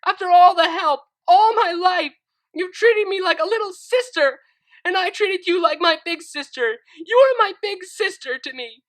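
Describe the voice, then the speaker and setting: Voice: sits high, slow pace, vocal fry, pushed and bright, breathy edge, voice pushed up, heavily acted. A reader voicing a young female pegasus filly who pleads and wails through tears, in a fan fiction audiobook. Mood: wailing. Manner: wailing, tearful pleading.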